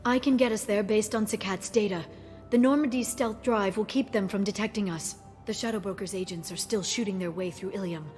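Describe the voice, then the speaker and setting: A woman's voice: medium pitch, brisk, darkish tone, natural voice. An actress playing a determined, coolly rational woman in a science fiction video game, earnest and resolute, with a guarded manner. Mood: focused, businesslike. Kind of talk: dramatic video game acting. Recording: music under the voice.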